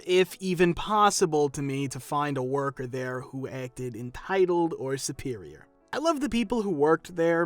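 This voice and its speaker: Lively man narrating reader stories. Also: fairly high pitch, dry recording. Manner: lively, expressive reading with small laughs. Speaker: story narrator. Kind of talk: scripted narration of a reader's story.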